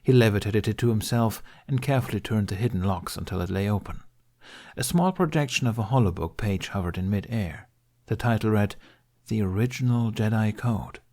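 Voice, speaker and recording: A man's voice: lowish voice, lively intonation, a little fast, darkish tone. A man reading scripted fiction aloud as an audiobook narrator.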